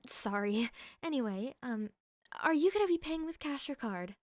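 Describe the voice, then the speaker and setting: A woman's voice: high voice, lively intonation, normal speed, dry recording, studio quality. A woman playing a polite, chatty cashier in a roleplay audio, perky and accommodating with customer-service courtesy. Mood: friendly. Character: polite, chatty young female shop cashier.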